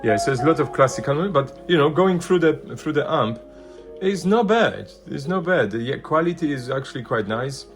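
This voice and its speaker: A man presenting a casual hands-on product demonstration, thinking aloud in loose, halting phrases. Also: a little high, expressive, faint music behind. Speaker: vintage hi-fi video presenter.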